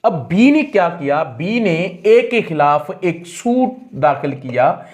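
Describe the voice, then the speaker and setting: A male lecturer with a slightly high-pitched voice speaks at a slow, deliberate pace. He explains step by step in a teaching style, repeating phrases and switching between two languages as he goes. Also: big pitch swings, darkish tone. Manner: code-switches between Hindi and English. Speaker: law lecturer. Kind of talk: explanatory lecture with examples, reading statutes aloud.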